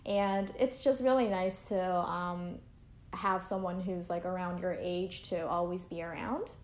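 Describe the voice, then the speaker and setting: A woman's voice: fairly low pitch, fairly even intonation, unhurried. A young woman from Canada chatting in an interview, talking loosely with lots of fillers and likes. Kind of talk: casual interview chat. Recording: echoey room.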